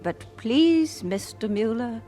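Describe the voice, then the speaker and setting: A devout, scrupulous widow reads her letters earnestly in old radio drama fashion. Her voice sits fairly high and moves expressively up and down, with careful, measured phrasing. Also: expressive, warm tone, faint music behind. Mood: warm, relieved.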